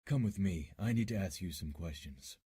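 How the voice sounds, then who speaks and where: Casting: man to play a weary, dogged 1940s radio police detective, very husky, lowish pitch, blunt questioning. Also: lively intonation, normal speed, dark tone, exaggerated voice. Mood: pressing. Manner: blunt, pressing interrogator. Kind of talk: 1940s radio drama acting.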